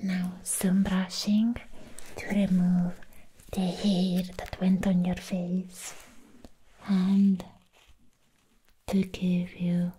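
A woman playing a sweet, affectionate vampire in an ASMR roleplay, whispering softly and soothingly close to the mic. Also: lowish voice, little pitch movement, slight background noise, poor audio. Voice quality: soft, breathy whisper. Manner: slow, soothing, gently repeating words. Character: sweet, affectionate young female vampire who likes humans. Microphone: close ASMR microphone.

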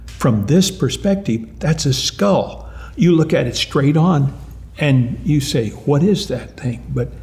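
An older man with a gravelly, creaky voice answers interview questions in a cozy lounge. He talks in an animated way and often restarts or repeats words. His pitch sits in the middle range. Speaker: retired mathematics professor. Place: lounge-like room with armchairs and lamps, indoors.

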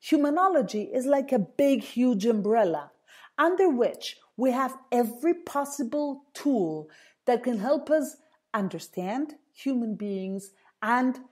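A woman hosting a solo radio talk show, hoarse and husky, earnestly explaining and repeating key points for listeners. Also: fairly high pitch, a little mellow. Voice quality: husky, strained voice.

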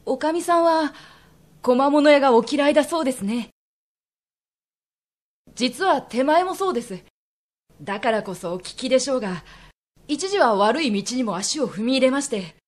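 A suave young merchant man of old Japan, acted by a woman in a radio drama. The voice is high and closely recorded without echo, with polite, deliberate phrasing. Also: lively intonation. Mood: composed, earnest.

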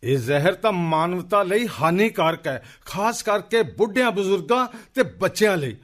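A man's voice: high voice, animated, normal speed, a bit bright. A man playing an indignant, forthright villager in a radio play, with a Punjabi accent and an accusing manner. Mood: earnest. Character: outspoken male villager, indignant accuser of a neighbour.

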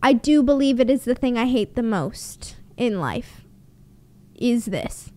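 A woman's voice: a little high, animated, measured pace, a little mellow. A young woman hosting a podcast from a small home room, telling a story in a casual, blunt and sarcastic way and swearing freely, close on a studio microphone. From Canada. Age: young adult. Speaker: podcast host. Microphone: studio mic on boom arm, close.

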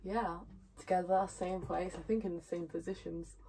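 A woman's voice: fairly low pitch, fairly even intonation, moderate pace, mellow. A young woman bantering casually in a bedroom, picked up by a camera across from her. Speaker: vlog co-host. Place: small bedroom indoors, sitting on a bed. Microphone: camera a couple of metres away. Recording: distant home camera, clear noise.

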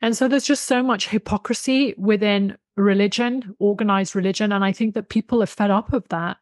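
A woman's voice: medium pitch, fairly even intonation, a little fast, no room sound, clean studio sound. A woman in her thirties explaining her subject emphatically and conversationally as an interview guest. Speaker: interview guest, author and expert on folk spirituality. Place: small indoor room with bookshelves, video call. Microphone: desktop USB condenser microphone, close.

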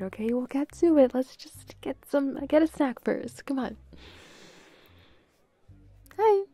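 A bubbly young girlfriend played by a woman in an intimate roleplay audio, teasing and affectionate. Her voice is fairly high yet soft and mellow, recorded close and dry, and she talks in an unhurried, giggly, breathy way. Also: no echo. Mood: cheerful ordering.

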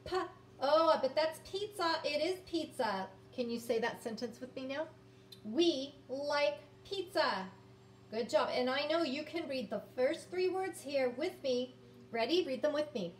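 A woman in her fifties leads a children's phonics lesson and read-aloud. She speaks very slowly and encouragingly, taking care over each word. She is a little away from the mic in a reverberant room with faint background noise. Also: sits high, expressive, recorded from a distance. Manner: deliberate, sounds words out, encouraging. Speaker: early-grades teacher.

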